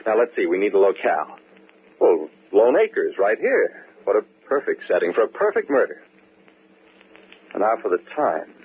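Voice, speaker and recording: An actor playing a breezy, needling husband with casual menace, in theatrical 1940s radio mystery style on a tinny old recording. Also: male voice, fairly low pitch, big pitch swings, normal speed, husky, heavily acted. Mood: breezy, teasing menace.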